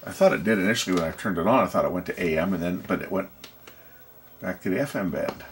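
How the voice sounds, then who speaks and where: A man giving casual hands-on repair commentary, thinking aloud and hesitating as he works, with a gravelly voice. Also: deep voice, fast talker. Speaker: host repairing electronics. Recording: background noise with handling clicks.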